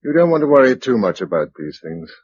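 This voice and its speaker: An actor playing a kindly, steady English survivor in a radio drama, speaking in a measured, understated way. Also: male voice, medium pitch. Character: kindly, steady male survivor narrating his journey. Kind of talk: radio drama narration and dialogue. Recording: dull radio recording, clear background noise.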